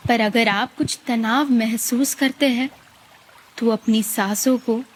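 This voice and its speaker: A woman guides a meditation, giving calm, gentle instructions with long pauses. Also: fairly high pitch.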